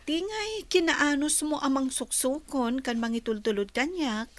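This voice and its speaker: A woman's voice: high-pitched, measured pace. A woman playing an earnest, friendly young graduate in an audio drama, with a Filipino Ilocano accent.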